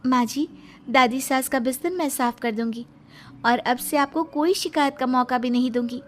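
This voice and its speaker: A woman as a sweet, high-voiced young daughter-in-law. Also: moderate pace, natural voice. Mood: sweet, earnest. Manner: sweet, polite, earnest. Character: innocent-sounding, polite, clever young daughter-in-law. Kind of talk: Hindi cartoon moral-story acting.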